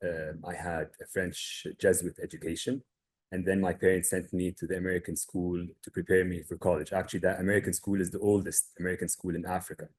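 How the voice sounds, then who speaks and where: Deep-voiced man from Egypt, measured. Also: level tone, breathy edge. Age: 30s. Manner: measured storytelling with frequent uh fillers. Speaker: guest, family business owner. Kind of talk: webinar presentation.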